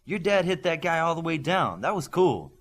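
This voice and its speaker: Man acting teenage boy hero, friendly, inquisitive, informal, animated pitch, audiobook dialogue. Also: moderate pace. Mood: impressed.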